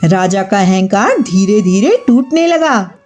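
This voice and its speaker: A woman narrating a scripted moral story for children in a measured, expressive storybook style. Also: medium pitch, faint music behind.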